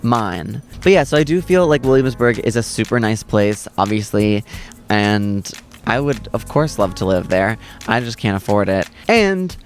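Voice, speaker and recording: Young man, soft voice, low pitch, casual vlog talk, reflective, outdoors in a city, faint background music. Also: expressive, moderate pace. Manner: chatty, reflective, says "like" a lot. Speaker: vlogger.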